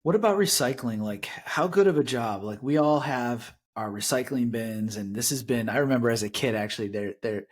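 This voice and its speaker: A man's voice: medium pitch, brisk, few pauses. A man hosting an interview podcast, asking questions casually with lots of "like" fillers. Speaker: podcast host. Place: Los Angeles.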